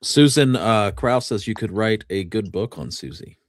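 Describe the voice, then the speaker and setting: A man's voice: fairly low pitch, animated, moderate pace. A man co-hosting a casual talk show with brief, informal remarks. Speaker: podcast co-host reading listener comments. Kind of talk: casual chat, brief reactions.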